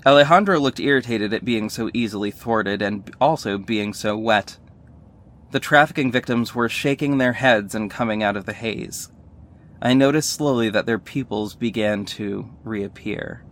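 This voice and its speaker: A man's voice: fairly low pitch, moderate pace, fairly bright tone, close to an everyday voice. An actor playing a sardonic male superhero who narrates his own story in a scripted audio drama, wry and earnest. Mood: tense recounting.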